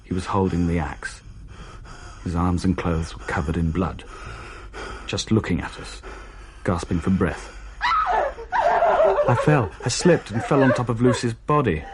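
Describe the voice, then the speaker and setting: A man's voice: deep voice, measured pace, pauses often, background noise. An actor playing a shaken man who recounts a horrifying haunting in a dramatic radio play. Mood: horrified, haunted. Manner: hesitant, haunted, dramatic storytelling. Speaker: narrator and character in radio play.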